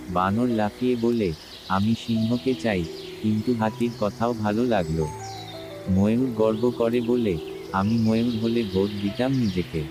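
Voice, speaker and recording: Low-voiced male story narrator. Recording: music behind the voice.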